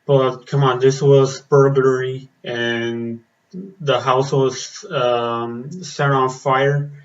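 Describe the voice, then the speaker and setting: A man reacting in a casual vlog, slightly raspy from the cold, pausing often with fillers and restarts. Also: mid-range voice, flat delivery, dull audio. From Spain. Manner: hesitant, many fillers and restarts. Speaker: metal vlogger. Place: outdoors in the cold.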